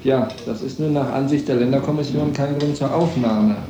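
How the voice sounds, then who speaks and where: A man's voice: mid-range voice, moderate pace. Stiff formal official guy, echoey noisy old recording. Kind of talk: bureaucratic explanation.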